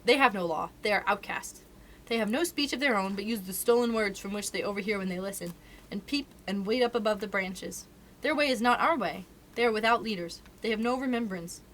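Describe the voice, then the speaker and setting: A big old brown bear teacher, gruff yet tender, laying down the law in a solemn lecturing tone, as a woman reading a storybook puts him on. A faint hiss runs under the recording. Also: medium pitch, fairly bright tone, close to an everyday voice.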